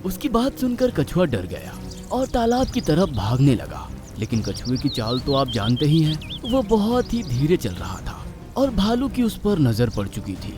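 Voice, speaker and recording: A man narrating a scripted children's story in an expressive storytelling style. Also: fairly high pitch, unhurried. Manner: expressive, animated. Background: background music.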